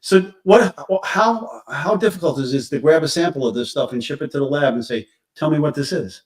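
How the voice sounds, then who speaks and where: A man discussing crime cases casually on a live stream, halting as he searches for words, recorded at a distance from the microphone. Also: a little high.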